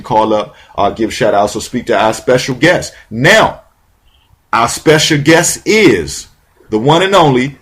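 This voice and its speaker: Husky guy hosting a call-in show, rambly, slangy, keeps restarting. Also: mid-range voice. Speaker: radio show host. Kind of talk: casual talk show interview.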